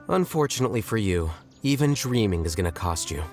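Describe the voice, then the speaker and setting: A man voicing a cool, aloof scholar official in a video game, with a dry, measured, sardonic manner. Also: lowish voice, big pitch swings, soft background music. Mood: wry, teasing.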